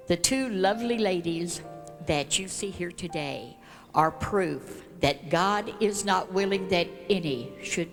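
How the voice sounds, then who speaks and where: A woman delivers a prepared church introduction in a slightly low voice. Her pace is very slow, with formal, measured phrasing. Long reverb from the hall surrounds her. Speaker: church class leader introducing speakers. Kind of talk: prepared speech to a congregation.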